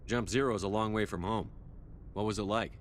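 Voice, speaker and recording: A man acting a steady, approachable military commander in a science fiction video game, direct and composed. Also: on the low side, fairly quick, a little hiss or hum, close to an everyday voice. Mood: curious, calm.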